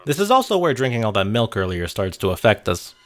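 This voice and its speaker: Animated low-voiced man narrating a video essay. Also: fast talker. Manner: wry, conversational, casually sweary. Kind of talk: scripted video essay narration with casual asides. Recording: background music under narration. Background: music.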